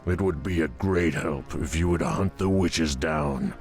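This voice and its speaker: A man's voice: a bass voice, unhurried, a little mellow, faint music behind. An actor playing a grave, earnest tauren tribesman in a fantasy game, speaking solemnly and formally. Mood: troubled. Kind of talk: fantasy game cutscene acting.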